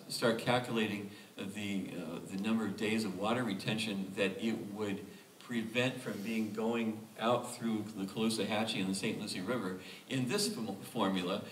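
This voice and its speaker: A man hosting a lecture panel, speaking haltingly with many fillers as he gives figures and introduces guests. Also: on the low side, a little hiss or hum. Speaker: lecture series host and panel moderator. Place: museum lecture hall. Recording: echoey room, mic far off.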